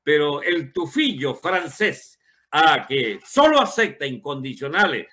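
A man's voice: on the high side, very animated, a little slow, sharp tone. A man in his seventies giving an opinionated, unscripted political monologue in an emphatic way, with rhetorical questions and colourful wording. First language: Spanish. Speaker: political commentator.

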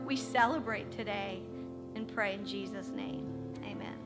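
A woman's voice: medium pitch, steady tone, a little slow, loud music behind the voice. A middle-aged woman leading a church service from a pulpit, praying fervently and then making friendly announcements. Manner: fervent in prayer, chatty and laughing in announcements. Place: church sanctuary stage, at a wooden pulpit. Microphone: handheld microphone.

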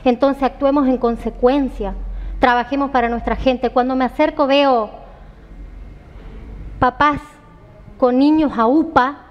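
A woman's voice: high-pitched, steady tone, a little slow, long pauses, in a large hall, dull audio. A woman in her thirties with an Argentine accent, a candidate speaking earnestly and emphatically in a TV studio interview on a headset mic. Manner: earnest, emphatic, repeats phrases for stress. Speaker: political candidate being interviewed.

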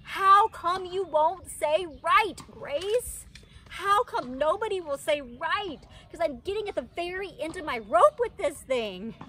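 A woman's voice: very high voice, expressive, unhurried, pushed and bright, pitched above the performer's own voice, exaggerated voice. A woman voicing an anxious, pestering little schoolgirl from a children's storybook, pleading and repeating herself insistently. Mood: upset, exasperated. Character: anxious, pestering little schoolgirl narrating her own troubles. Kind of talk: children's storybook read-aloud, dramatized. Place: outdoors in a wicker pod chair under trees. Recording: outdoor ambient noise.

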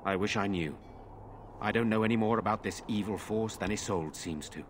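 A resolute, dutiful lord, acted by a man in a fantasy video game. His voice is on the low side. He speaks formally and earnestly, in measured phrases. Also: a little hiss or hum, close to an everyday voice. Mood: grave, urgent. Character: resolute, dutiful nobleman, self-sacrificing brother. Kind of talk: dramatic video game dialogue.

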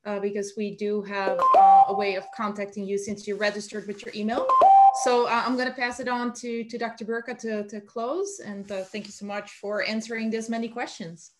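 A woman's voice: medium pitch, little pitch movement, a little fast, very noisy. A woman in her forties moderating a webinar, reading audience questions with some fillers over a video call. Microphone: video call webcam.

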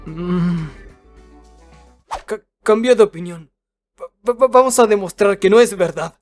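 A man's voice: high-pitched, slow pace, soft background music. A man playing a timid, embarrassed teenage boy in an anime fandub, hesitant and stammering, with a Latin American accent. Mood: nervous. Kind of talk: anime comic fandub acting.

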